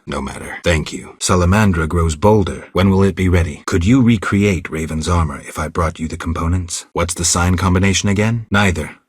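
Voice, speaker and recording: An actor playing a stoic, gruff male monster hunter in a fantasy video game, gravelly, terse and deadpan. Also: deep voice, no room sound, clean studio sound. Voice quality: gravelly, husky.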